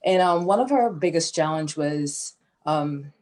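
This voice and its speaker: A woman's voice: low-pitched, expressive, measured pace, a little mellow. A woman originally from Guyana who founded a nonprofit, speaking on a panel in a hesitant, unscripted way with many fillers and restarts, heard over a video call.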